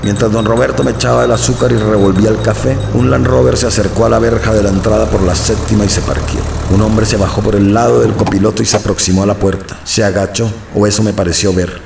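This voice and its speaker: A man narrating a radio drama in the first person, measured and brooding. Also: lowish voice, fairly even intonation, creaky, echoey room, background noise.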